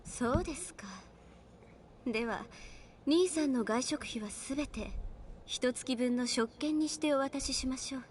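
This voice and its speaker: High-voiced, prim young sister played by a woman. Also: a little hiss or hum, lightly acted. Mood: coolly polite. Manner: polite, measured, quietly controlling. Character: prim, softly menacing young sister of a wealthy family. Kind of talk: Japanese visual novel voice acting.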